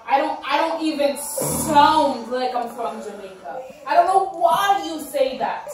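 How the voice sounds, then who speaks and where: A woman's voice: sits high, expressive, a little slow, echoey room, music in the background, low-quality recording. A woman from Saint Vincent with a Vincentian accent, protesting in an exasperated way.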